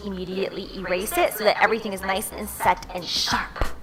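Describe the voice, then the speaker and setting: A woman giving calm, steady step-by-step narration in a makeup tutorial. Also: medium pitch, fairly quick.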